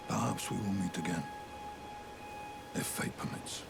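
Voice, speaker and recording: An actor playing a stoic, weathered warrior hunter in a fantasy game, speaking in a solemn, ominous, measured way. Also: male voice, low-pitched, gravelly, heavily acted. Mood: solemn warning. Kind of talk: scripted fantasy game acting. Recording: background music under the voice.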